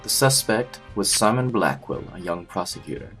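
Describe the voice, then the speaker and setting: A man voicing a composed, formal prosecutor in a game fandub, speaking in a measured, matter-of-fact way. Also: lowish voice, lively intonation, fairly bright tone, soft background music. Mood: composed, businesslike. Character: composed, formal male prosecutor briefing on a case. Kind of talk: scripted game fandub acting.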